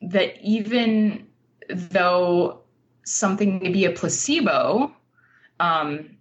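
A woman with a lowish voice talks at a very leisurely pace as an interview guest. She is informal and earnest, thinking as she goes. The sound is a little dull and lo-fi. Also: lively intonation, slow pace. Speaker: interview guest, nonfiction writer. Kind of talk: casual conversational interview.

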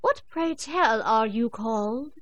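A woman puts on a tyrannical storybook house cat who talks like a cruel queen. She is haughty and imperious, drawing out her orders slowly and loudly, set against a dry, close sound with no room around it. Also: on the high side, expressive, dry recording. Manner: imperious, slow and commanding.

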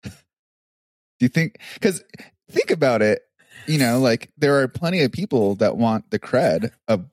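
A man's voice: medium pitch, very animated, a little slow, pauses often, darkish tone, dry recording. A man co-hosting a podcast, joking around and laughing often in casual chat. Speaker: podcast co-host and producer.

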